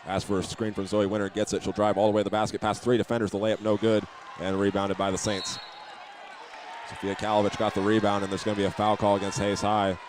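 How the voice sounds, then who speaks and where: A man giving steady, methodical live play-by-play commentary of a high school basketball game. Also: quick pace. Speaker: play-by-play announcer. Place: indoor school basketball gym. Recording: live gym broadcast with clear background noise.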